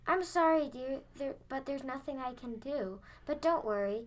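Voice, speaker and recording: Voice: sits high, lively intonation, moderate pace. A child of about ten acting as a kindly, hard-up widowed mother in a school radio play. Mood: apologetic, consoling. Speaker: fourth grade student actor.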